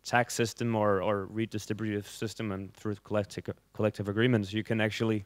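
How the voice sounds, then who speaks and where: A man moderating a conference panel, brisk and conversational. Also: lowish voice, flat delivery, dry recording. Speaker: session moderator.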